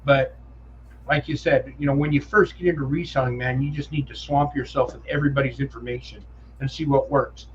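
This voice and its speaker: A man's voice: medium pitch, fairly even intonation, brisk, dull audio, a little hiss or hum. A man with a nasal voice, co-hosting a livestream in casual, easygoing chat. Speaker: co-host.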